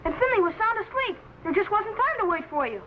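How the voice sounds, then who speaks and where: A very high, lively boy's voice, eager and impulsive, in an old-time sci-fi serial. The recording is dull and clearly noisy. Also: quick pace. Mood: earnest, breathless. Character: eager, impulsive boy assistant to a time traveler. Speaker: actor playing the captain's assistant. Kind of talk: old-time sci-fi adventure drama acting.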